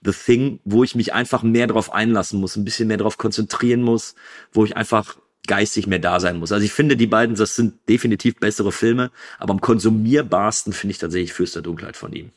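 A man in his thirties hosting a podcast, talking in a relaxed, chatty way with frequent fillers. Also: lowish voice, fairly quick. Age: 30s. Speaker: podcast host. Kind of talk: casual podcast conversation.

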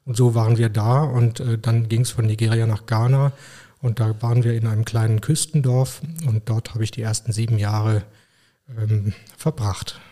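Thoughtful middle-aged man, mellow voice. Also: lowish voice, flat delivery, normal speed. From Koblenz, Germany. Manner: thoughtful, many hesitations. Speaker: podcast guest, physician. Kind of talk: casual interview talk.

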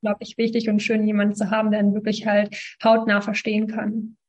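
A woman in her twenties from Ukraine, telling her story as a guest in a relaxed interview, talking with lots of fillers and small laughs. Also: medium pitch, fast, highs cut off. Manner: chatty, many fillers, laughs between sentences. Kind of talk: casual interview talk.

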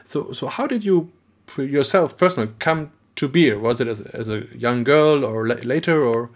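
A man with a German accent hosting a podcast in English, friendly and halting as he searches for words. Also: mid-range voice, expressive. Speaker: podcast host. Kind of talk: podcast interview.

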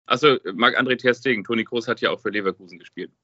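A man's voice: mid-range voice, fast, sharp tone, no echo, muffled recording. A man co-hosting a casual football podcast, chatting informally as he plays along with a quiz. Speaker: podcast co-host and quiz contestant.

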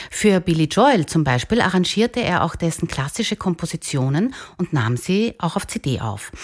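Woman, slightly low pitch, interview host, scripted introductions, guest questions.

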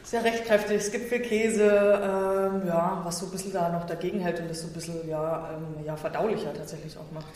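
A woman's voice: lowish voice, steady tone, brisk, few pauses, darkish tone. Guest, female sommelier, Berlin accent, chatty with many fillers, very reverberant room with a faint hiss. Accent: Berlin German. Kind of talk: casual expert chat. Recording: very echoey room, faint noise.

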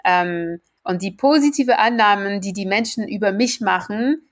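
A woman's voice: medium pitch, normal speed. A woman with a French accent, a native French speaker, answering as the expert guest in a podcast interview, earnest and building to emphatic repetition.

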